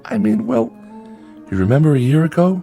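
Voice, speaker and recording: A farm father in a radio drama who is afraid and trying to sound jolly. His folksy talk halts and placates, and his pitch leaps around dramatically. Music plays softly behind him. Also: big pitch swings, a little fast, gravelly. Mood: nervous joviality. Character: nervous farmer father, falsely jovial, afraid of his son.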